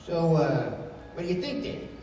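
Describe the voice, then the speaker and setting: An actor playing a bumbling, hot-tempered brawny adventurer in 1930s radio style, talking in slang. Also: male voice, a little high, big pitch swings, moderate pace, warm tone, muffled recording. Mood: bashful, wooing. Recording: echoey hall, distant mic, faint noise.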